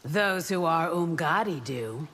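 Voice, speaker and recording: An actress playing a confident, devout royal guard warrior in a fighting game, formal and self-assured. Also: female voice, deep voice, animated, slow, very noisy. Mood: composed. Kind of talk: video game fight banter acting.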